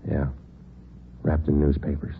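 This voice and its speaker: An actor playing a police detective who narrates the case in a clipped, flat, matter-of-fact way, in a 1950s radio drama. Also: male voice, a bass voice, measured pace. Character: terse police detective sergeant narrating, middle-aged man. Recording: narrow-band old radio broadcast with music.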